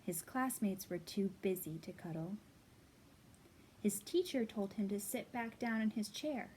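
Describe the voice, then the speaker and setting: A woman hosting storytime for young children, reading and talking to them in simple, expressive phrases. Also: medium pitch, measured pace, a little hiss or hum. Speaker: children's library storytime host. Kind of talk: storytime read-aloud and singalong for young children.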